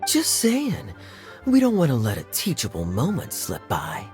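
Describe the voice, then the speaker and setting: A man voicing a sly, teasing knight in a video game, wry and relaxed, with game music behind. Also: medium pitch, measured pace. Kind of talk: video game cutscene voice acting.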